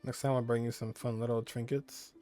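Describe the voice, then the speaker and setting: Casual male gamer, mid-pitched voice. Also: level tone, brisk, warm tone. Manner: casual, slangy, reacting. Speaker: game streamer. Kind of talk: casual let's play commentary. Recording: game music behind the voice. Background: video game music.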